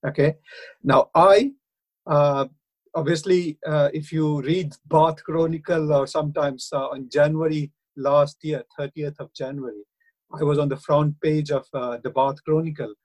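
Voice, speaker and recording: A middle-aged man with an Indian accent, speaking earnestly and haltingly in a radio interview, with frequent hesitations. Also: on the high side, steady tone, a little mellow, poor audio. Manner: earnest, hesitant, many uh fillers. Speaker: councillor and university lecturer.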